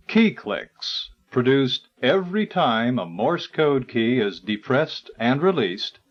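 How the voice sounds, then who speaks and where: Male narrator for an instructional guide: formal, unhurried, widely varied intonation, dull 1980s tape sound. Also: medium pitch. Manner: formal, measured, articulate delivery. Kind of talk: scripted educational narration.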